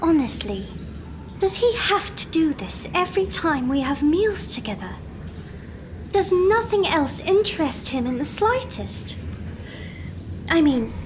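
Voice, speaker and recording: A woman's voice: high voice, background noise. A woman playing a sensitive young Victorian daughter, plaintive and formal, in a period audio drama.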